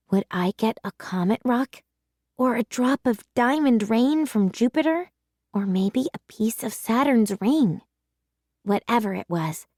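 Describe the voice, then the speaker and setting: A woman narrating a children's story in an animated, emphatic storytelling manner. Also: fairly high pitch, no echo, clean studio sound. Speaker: read-aloud storyteller. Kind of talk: expressive children's audiobook narration.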